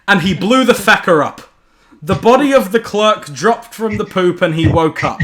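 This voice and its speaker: A young man with a high, forceful voice narrates a silly horror story to his friends. He is giggly and often stumbles over his words as he reads. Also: animated, normal speed.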